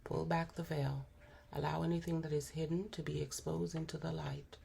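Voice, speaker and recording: A hoarse woman with a cold, giving a spiritual tarot reading in an unhurried, musing way with frequent pauses and fillers. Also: low-pitched, flat delivery, dark tone, a little hiss or hum. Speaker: tarot reader.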